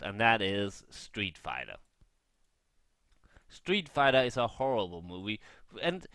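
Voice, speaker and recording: A man's voice: fairly low pitch, fairly bright tone, dry recording. A man giving casual gameplay commentary, rambling and thinking aloud as he shares blunt opinions. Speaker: gaming vlogger.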